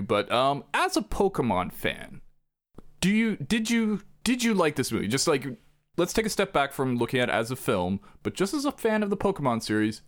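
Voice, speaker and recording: A man co-hosting a podcast, talking casually and rambling with joking asides and quick self-corrections. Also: medium pitch. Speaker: podcast co-host. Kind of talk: casual chat.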